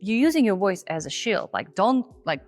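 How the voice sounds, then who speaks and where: A woman who is not a native English speaker, hosting and teaching in a chatty, animated way. She breaks off now and then to act out example voices. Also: mid-range voice, moderate pace, soft background music. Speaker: confidence coach and host. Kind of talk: casual on-camera teaching.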